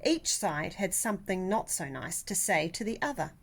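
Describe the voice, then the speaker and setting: A woman reading a children's picture book aloud like a teacher, with deliberate, expressive emphasis. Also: fairly low pitch, creaky, slightly rough. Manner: animated, deliberate, with dramatic emphasis.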